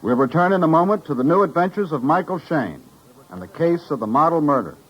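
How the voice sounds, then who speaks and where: A man's voice: on the high side, lively intonation, a little fast, husky. A man announcing a 1950s radio drama in a dramatic, declamatory style. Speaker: announcer and director. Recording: muffled old radio, loud music behind.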